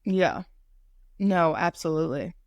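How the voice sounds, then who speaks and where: A young woman co-hosting a podcast, talking casually and stopping often to restart her sentences. Her voice sits a little low. The recording is dry and close. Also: expressive, a little mellow, dry recording, dull audio. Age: young adult. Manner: halting, many fillers and restarts. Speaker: podcast co-host. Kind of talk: casual conversational discussion.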